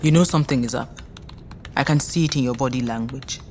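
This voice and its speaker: Very deep-voiced stern officer. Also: female voice, fairly quick, darkish tone, slight background noise. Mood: suspicious. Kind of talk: audio drama acting.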